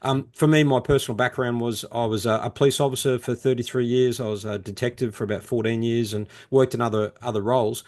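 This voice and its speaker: A man's voice: medium pitch, steady tone, quick pace, few pauses, darkish tone. A middle-aged man, talking conversationally about his work as an interview guest and novelist. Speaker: podcast guest, crime novelist.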